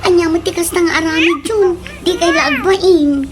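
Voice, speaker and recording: A woman's voice: extremely high pitch, a little slow, very noisy. A woman playing a young daughter, complaining reproachfully in a comedic radio drama. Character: young daughter reproaching her quarrelling parents. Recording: radio drama track with music.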